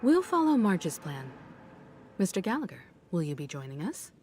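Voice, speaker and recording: A woman's voice: fairly low pitch, very animated, normal speed, mellow, slight background noise. A woman playing a poised, gracious leader of travellers in a video game, composed and polite. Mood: calm resolve.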